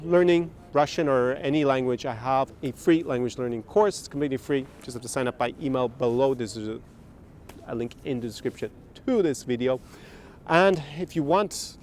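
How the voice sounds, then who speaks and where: A man's voice: medium pitch, a little mellow, a little hiss or hum. A man hosting a video outdoors, talking to viewers in a casual, rambling, informal way. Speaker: host promoting a language course and travel consulting.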